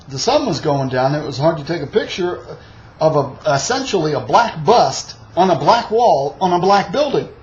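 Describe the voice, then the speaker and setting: A man's voice: fairly high pitch, a little mellow. A man from the United States telling a long, rambling travel anecdote in a casual way, full of fillers and side remarks. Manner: rambling, with many fillers and asides. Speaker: storyteller. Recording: dull lo-fi recording with faint hiss.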